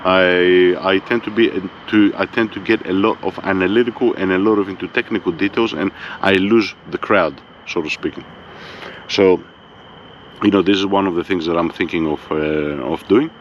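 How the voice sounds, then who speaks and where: A man with a Greek accent talking in a laid-back, fairly monotone way, swearing freely and often tagging on a filler question to check he is understood. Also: sits low, normal speed, highs cut off, a little hiss or hum. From Greece. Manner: laid-back, swears freely, keeps saying "you know what I mean". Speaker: vlogger. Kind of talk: casual monologue.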